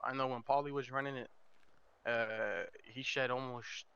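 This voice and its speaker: A man playing a slangy, halting mafia underling in a crime roleplay game, over slightly dull lo-fi voice chat. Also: medium pitch, fairly even intonation, fairly bright tone, smooth, no room sound, natural voice. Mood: explaining, frank. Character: street-talking mafia underling who manages the family business.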